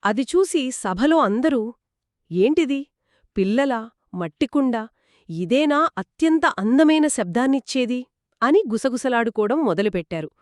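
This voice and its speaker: A woman co-narrating a children's story in a conversational, expressive and engaging style. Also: a little high, unhurried, no room sound, studio quality. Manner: expressive, engaging, acts out characters' quoted lines.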